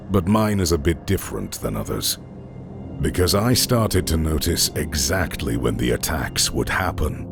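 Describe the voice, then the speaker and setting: A man reading scripted horror stories as a narrator, in a deliberate, suspenseful way with drawn-out phrase endings. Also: rumbling low, gravelly. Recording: music under the voice. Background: background music.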